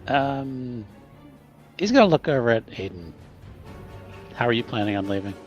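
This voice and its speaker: A man's voice: medium pitch, expressive, moderate pace, lo-fi sound. A man playing a tabletop roleplaying game, talking casually and a little tentatively as he describes his character's actions. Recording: music playing behind. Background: background music.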